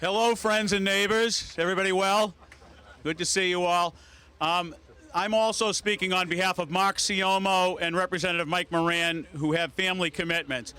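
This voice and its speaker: High, clear-voiced man making a political speech. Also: steady tone, moderate pace, pushed and bright. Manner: emphatic, repeating phrases. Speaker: state representative. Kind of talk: prepared public speech. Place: outdoor public event in rain.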